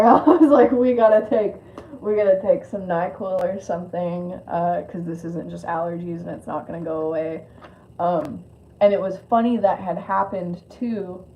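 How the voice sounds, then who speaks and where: A woman with a lisp giving a spiritual card reading on a live stream, moving between reading aloud and informal, rambling chat. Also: lowish voice. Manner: informal, rambling asides with swearing. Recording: dull lo-fi audio with clear noise.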